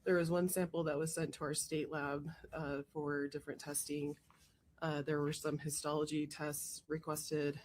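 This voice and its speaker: A woman testifying as a witness in a courtroom, hoarse from a cold, giving careful, matter-of-fact answers. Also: low-pitched, little pitch movement, moderate pace, darkish tone, a little hiss or hum. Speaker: trial witness, forensic technician.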